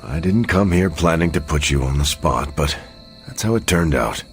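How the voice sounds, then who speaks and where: A solemn male leader in a video game, steady and assured. His voice is very deep and encouraging, over faint music. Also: normal speed. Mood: reassuring. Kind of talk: video game cutscene acting.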